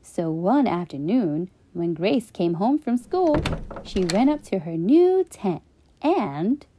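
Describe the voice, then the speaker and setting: A woman reading a children's bedtime story in an expressive, sing-song storytelling manner. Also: mid-range voice, takes it slowly, poor audio.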